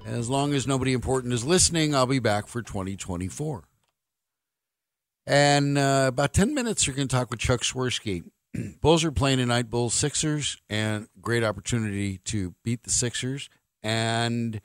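A man's voice: mid-range voice, expressive, moderate pace, dry recording, studio quality. An American man hosting a sports talk radio show, with a wry, opinionated and emphatic delivery.

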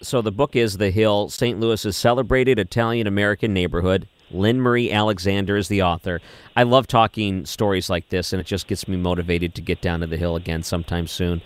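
A man's voice: low-pitched, steady tone, a little fast, smooth. A man hosting an overnight talk radio show, interviewing a guest and affirming her often. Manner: affirming, with frequent short responses. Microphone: studio microphone.

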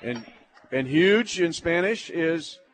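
A man's voice: on the high side, animated, takes it slowly, some chatter behind. American man hosting live sports talk. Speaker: sports show host.

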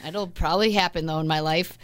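A woman in her thirties co-hosting a chat, her voice low and raspy from a cold, chatty and sympathetic. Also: fairly even intonation, fast, no echo. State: hoarse from a cold. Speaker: podcast co-host. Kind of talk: casual chat.

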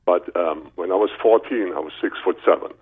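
German guy guest telling his story casually, low husky voice, tinny phone sound. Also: brisk. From Berlin, Germany. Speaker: guest, chiropractor. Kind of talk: casual personal storytelling in interview. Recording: narrow muffled telephone line.

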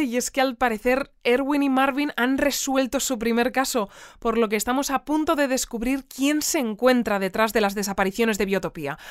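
Smooth woman radio presenter played by an actress. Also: on the high side, no echo, clean studio sound, natural voice. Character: polished female radio news presenter, easily flustered. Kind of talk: fictional radio news presenting.

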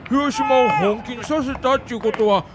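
Man as a very high-voiced, cocky Kansai ballplayer. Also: moderate pace, crowd noise. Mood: defiant, confident. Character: confident, competitive young male baseball player.

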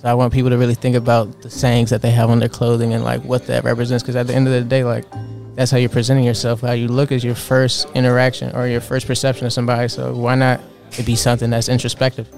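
A man in his twenties, a fashion designer, answering interview questions in a relaxed, earnest way with lots of fillers. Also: mid-range voice, fast talker, gravelly, faint music behind. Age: 20s.

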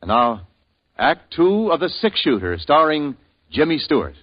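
A man announcing on 1950s radio in a measured, formal delivery. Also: a little high, sing-song. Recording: dull old radio broadcast recording.

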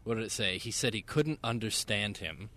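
Brief: male radio producer, lowish voice, soft-spoken casual studio banter. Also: lively intonation, moderate pace. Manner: quiet, low-key interjections.

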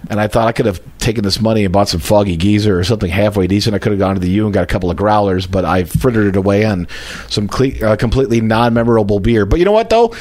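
A man's voice: on the low side, quick pace, darkish tone. A man hosting a casual beer podcast, rambling and joking with plenty of fillers and restarts. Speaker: podcast host. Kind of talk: casual chat about beer.

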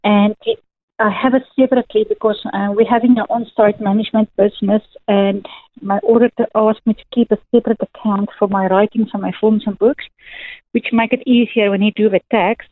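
A woman's voice: medium pitch, fairly even intonation, brisk, dry recording. South african woman, afrikaans first language, earnest chatty talk, tinny dry phone sound. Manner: earnest, chatty, many fillers. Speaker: guest author. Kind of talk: phone interview.

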